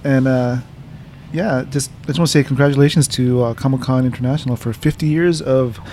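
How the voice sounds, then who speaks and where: A man hosts a casual podcast, introducing his co-host and guest with plenty of uhs and ums. His voice has an ordinary middle pitch. Faint background noise from a cafe runs under him. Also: steady tone, fairly quick, warm tone. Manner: hesitant, many uhs and ums. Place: comics shop cafe.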